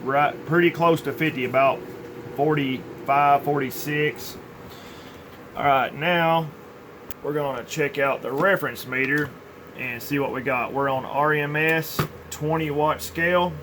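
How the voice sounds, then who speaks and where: A man's voice: a little high, a little slow, a bit bright, a little hiss or hum. A man giving an informal, plainspoken workbench demonstration as a radio repair technician. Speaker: CB radio repair technician presenting his tune-up work.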